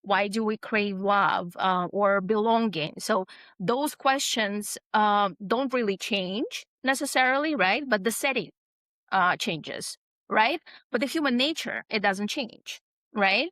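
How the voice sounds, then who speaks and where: A middle-aged woman from Russia, speaking at an unhurried, deliberate pace. She answers reflectively and leans on fillers and tag questions. The recording is dry and close. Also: medium pitch, fairly bright tone, no echo. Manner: thoughtful, frequent "um" and "right?", tag questions. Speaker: author guest. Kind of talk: conversational interview answer.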